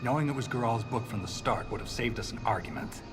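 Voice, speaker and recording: A man playing a pragmatic, skeptical dwarf soldier in a video game, talking in a blunt, wry, gruff way. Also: medium pitch, animated, fast talker, natural voice. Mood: grudging, earnest. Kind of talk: video game cutscene dialogue. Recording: game music behind the voice. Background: music.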